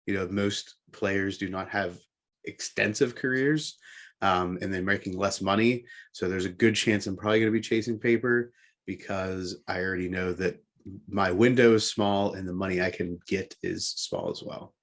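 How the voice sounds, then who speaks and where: A man's voice: low voice, fairly even intonation, normal speed. A man co-hosting a casual sports show, giving his opinions while thinking aloud. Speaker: co-host of a sports talk show.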